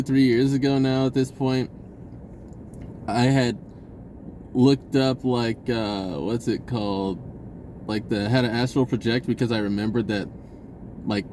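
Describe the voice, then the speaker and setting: Man, mid-pitched voice, casual storytelling, rambling, informal, animated, background ambient noise. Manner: animated, giggly, informal delivery peppered with "like" and "dude". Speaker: vlogger telling a personal story.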